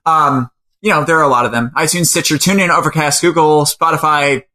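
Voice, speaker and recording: A man co-hosting a podcast in casual chat, playful and teasing with quick asides. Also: a little high, steady tone, sharp tone. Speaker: podcast co-host.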